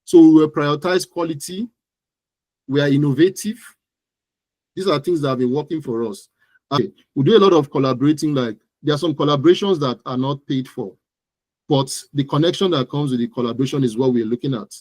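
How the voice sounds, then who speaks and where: A man's voice: on the high side, brisk, darkish tone, no room sound. Nigerian man explaining things, dry close sound. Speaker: interview guest, digital marketing entrepreneur. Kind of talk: conversational interview about own business.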